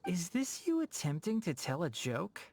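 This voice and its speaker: Expressive, thoughtful young botanist. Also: male voice, high-pitched, normal speed, full character voice. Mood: dry amusement. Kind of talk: scripted game voice acting.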